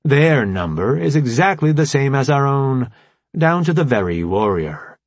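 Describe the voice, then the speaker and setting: A man voicing a formal, logical artificial intelligence robot leader in an audiobook, explaining things in precise, measured language. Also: medium pitch, very animated, no echo, pitched above the performer's own voice. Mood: solemn, explanatory. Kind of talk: audiobook character dialogue.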